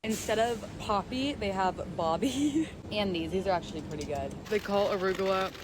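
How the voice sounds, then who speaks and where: A woman's voice: mid-range voice, normal speed, background noise. A woman from the United States chatting and giggling while filming a walk through a supermarket. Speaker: vlogger. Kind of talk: casual walk-around vlog.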